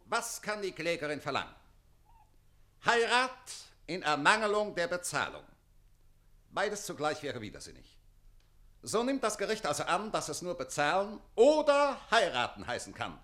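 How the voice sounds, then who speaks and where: Comic judge, officious, formal, piercing bright tone, lively swoops, radio play. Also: male voice, high-pitched, moderate pace, exaggerated voice. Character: officious, fussy presiding judge of a comic court. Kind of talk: German radio play comedy acting.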